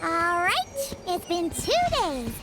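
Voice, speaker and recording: A tiny fairy sidekick with an extremely high, squeaky, childlike voice. She is perky and supportive, swinging up and down in lively game-cutscene style, with music clearly behind her. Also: slow pace, exaggerated voice. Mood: chatty. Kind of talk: English video game cutscene voice acting.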